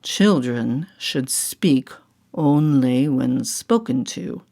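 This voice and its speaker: A woman's voice: rumbling low, animated, drawn-out words, vocal fry, dark tone, pitched below the performer's own voice, full character voice. A woman voicing a pompous, stern small-town mayor, a man given to declamatory speeches, in a storybook reading.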